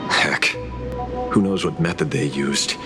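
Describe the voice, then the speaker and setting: Deep-voiced, sardonic male investigator. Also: measured pace. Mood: grim, sardonic. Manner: blunt, sardonic, commanding. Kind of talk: scripted video game voice acting. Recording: studio voice under loud game music. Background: loud game music.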